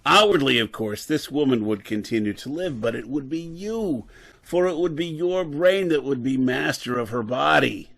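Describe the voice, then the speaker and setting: A man's voice: mid-range voice, animated, moderate pace, gravelly, fairly bright tone. A man playing an embittered, drunken, disgraced professor and brain surgeon in an old-time radio drama, lecturing and faltering over his words. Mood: intense, persuasive.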